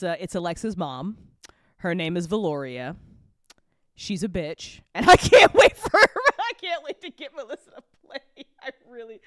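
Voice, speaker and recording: Woman hosting a casual chat, sweary and emphatic, smooth clean voice, no room echo. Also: fairly low pitch, clean studio sound. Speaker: host and audio drama writer. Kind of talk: casual after-show chat.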